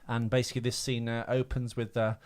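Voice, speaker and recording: A man's voice: medium pitch, level tone, quick pace. A man co-hosting a casual comedy film podcast, rambling along with crude jokes. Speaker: podcast co-host.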